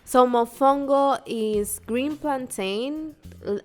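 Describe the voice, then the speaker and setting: Puerto rican woman co-hosting a chill podcast, animated, laughs a lot, restarts sentences, faint music underneath. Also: high voice, slow pace. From Puerto Rico. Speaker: podcast co-host. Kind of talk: casual chat. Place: New York.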